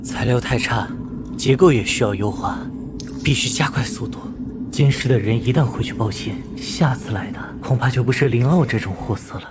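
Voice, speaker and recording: An actor playing a reborn young mecha commander in an animated drama, vengeful, determined and brooding in manner. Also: male voice, medium pitch, moderate pace, noisy background, close to an everyday voice. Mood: tense, resolute. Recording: music behind the voice.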